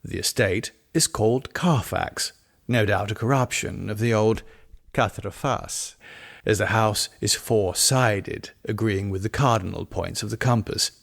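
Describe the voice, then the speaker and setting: A man narrating a gothic novel as an audiobook, in a measured, formal storytelling manner. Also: lowish voice, creaky, fairly bright tone, no echo, studio quality.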